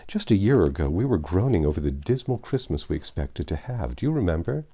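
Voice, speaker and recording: A man's voice: low-pitched, sing-song, a little fast, warm tone, slightly rough, heavily acted. A man voicing affectionate young sisters in a storybook reading, with an earnest, eager, girlish manner. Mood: wistful.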